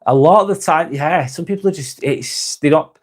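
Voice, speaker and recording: A man hosting a live stream, reading out viewer comments and chatting in a relaxed, rambling way with plenty of restarts and the odd swear word. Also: fairly high pitch, normal speed.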